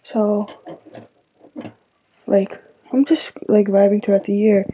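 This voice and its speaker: A teenage girl hosting her own podcast, sounding stuffy and tired, rambling with lots of fillers in a soft, confiding way. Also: medium pitch, fairly even intonation, slow pace, breathy edge, poor audio. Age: teens. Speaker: podcast host. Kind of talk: casual solo podcast talk.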